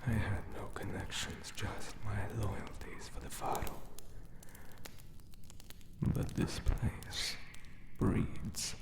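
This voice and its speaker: A lonely monster guardian of an ancient tomb, acted by a man, speaks in a low, gravelly half-whisper. The delivery is very slow and solemn, with formal, old-world phrasing, in an intimate ASMR roleplay style. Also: little pitch movement. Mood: mournful.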